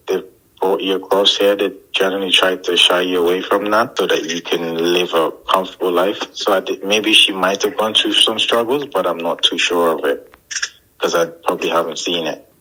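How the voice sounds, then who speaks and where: A man, answering interview questions thoughtfully and pausing to find his words. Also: low-pitched, steady tone, sharp tone, rough recording. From Guyana. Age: 30s. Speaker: interview guest.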